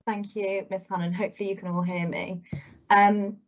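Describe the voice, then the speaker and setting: A woman's voice: medium pitch, level tone, normal speed, slight background noise. A woman in her thirties, a guest speaker talking to students over a video call, chatty and full of "kind of" fillers. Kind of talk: talk to students. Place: indoors at home. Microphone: laptop video call. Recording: muffled video call audio.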